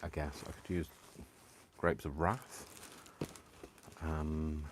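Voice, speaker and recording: Low-voiced British man chatting while crafting. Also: fairly even intonation, slow, slight background noise. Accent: British English. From United Kingdom. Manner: rambling and self-deprecating, many ums and laughs. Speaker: crafting livestream host. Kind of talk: casual chat to live viewers while crafting.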